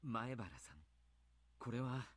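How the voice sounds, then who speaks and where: A man playing a grave, polite doctor and coach in a Japanese visual novel, speaking deliberately. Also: medium pitch, fairly bright tone, natural voice. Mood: hesitant, ominous.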